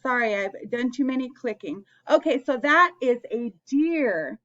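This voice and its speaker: A woman's voice: sits high, expressive, a little slow, bright, forceful tone, muffled recording. A woman hosting an interactive picture-book read-aloud for young children, asking them questions and encouraging them as she goes.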